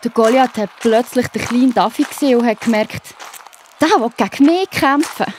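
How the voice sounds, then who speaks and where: A woman's voice: on the high side, normal speed, a little mellow, a little hiss or hum. A woman with a Swiss German accent narrating a scripted children's story expressively.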